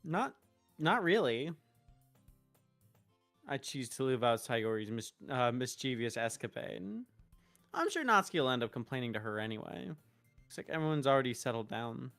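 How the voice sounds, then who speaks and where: A woman streaming a visual novel, reading narration and dialogue aloud expressively, halting in places. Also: extremely low pitch, sing-song, a bit bright. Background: game music.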